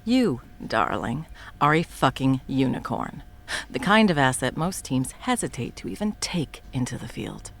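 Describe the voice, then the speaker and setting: A woman's voice: very deep voice, very animated, measured pace, full character voice. A woman playing a cruel, scheming female supervillain in an audio roleplay, taunting and profane, shifting between whispers and outbursts. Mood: menacing.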